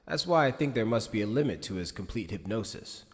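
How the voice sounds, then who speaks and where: A man acting a grave, shrewd middle-aged commander in an anime fan-dub, with measured, serious delivery. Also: on the low side, animated, close to an everyday voice. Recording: long hall-like reverb.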